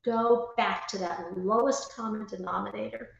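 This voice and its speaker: A woman guest in a casual conversation, explaining her thoughts haltingly, with pauses between phrases. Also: medium pitch, steady tone, creaky, highs cut off. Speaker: interview guest, writer. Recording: very echoey, roomy sound.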